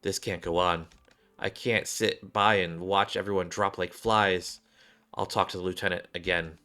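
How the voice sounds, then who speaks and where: A man's voice: low voice, fairly even intonation, normal speed, pushed and bright. A man voicing a grim, weary woman soldier with a serious, formal manner in a sci-fi game. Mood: grave.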